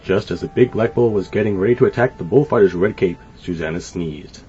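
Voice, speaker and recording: A man reading a children's story aloud in an animated, expressive way. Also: deep voice, fairly quick, slight background noise. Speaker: storybook reader. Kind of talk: scripted children's story narration. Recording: dull lo-fi sound. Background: light music.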